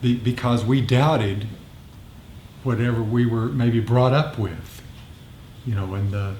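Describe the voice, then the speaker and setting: A man's voice: medium pitch, slow pace, a little mellow. A man leading an informal meditation group discussion, explaining reflectively and often repeating his phrases. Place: meditation group meeting room. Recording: echoey room, faint background noise.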